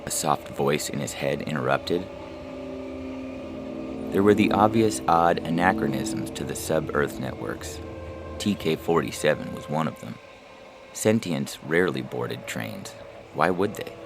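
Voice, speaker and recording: Very deep-voiced male narrator over music. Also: animated, measured pace, creaky. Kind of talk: scripted short story narration. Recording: loud music under the voice. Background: loud music.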